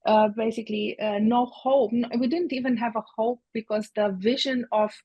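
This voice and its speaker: A woman in her fifties from Romania, a native Hungarian speaker, giving reflective interview answers with many pauses and restarts. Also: a little high, fairly even intonation, slightly rough, no echo, muffled recording. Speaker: guest.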